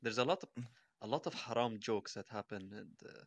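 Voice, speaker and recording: A man co-hosting a casual talk show, teasing the guest in a deadpan, mock-conservative way. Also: on the low side, fairly quick, no echo. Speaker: co-host. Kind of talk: casual interview chat.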